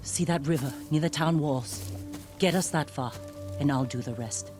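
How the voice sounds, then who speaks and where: A woman's voice: sits low, normal speed, close to an everyday voice. A woman playing a tough, confident young scout in a video game, speaking plainly and with assurance. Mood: confident, urgent. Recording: loud cinematic music behind.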